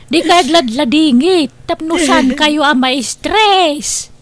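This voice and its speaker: A woman's voice: high voice, slow pace, faint music behind. A woman playing a kindly, reassuring figure who comforts a poor family in a drama, soothing and encouraging. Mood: comforting. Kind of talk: Ilocano radio-style family drama acting.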